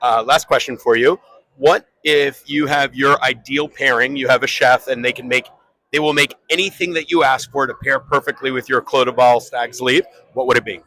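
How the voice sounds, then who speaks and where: A man's voice: mid-range voice, fairly even intonation, normal speed, sharp tone, poor audio. A man hosting a podcast, chatting with winemakers on site at a wine tasting with upbeat questions. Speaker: podcast host. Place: busy indoor tasting event.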